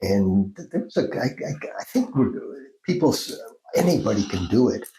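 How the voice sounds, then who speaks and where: An older man from Mexico speaks with a Mexican accent and a fairly low voice. He tells his stories at an unhurried pace and keeps restarting his sentences. The room around him has a noticeable echo. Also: sing-song, dark tone. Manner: unhurried, restarts often, laughs mid-sentence. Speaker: guest, Jungian analyst. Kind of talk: storytelling interview answers.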